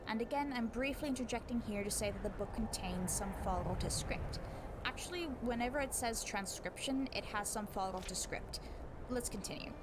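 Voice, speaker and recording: A woman reading a book aloud as scripted narration, in a measured, scholarly way with deliberate emphasis. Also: fairly high pitch, heavy background noise. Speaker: book narrator giving a voice demo.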